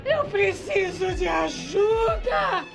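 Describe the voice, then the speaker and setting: Wretched spirit woman for audio drama: very slow, desperate wailing pleas, shrill pitch, over music. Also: sing-song, vocal fry, shouting, full character voice. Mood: desperate, pleading. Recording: music playing behind the voice.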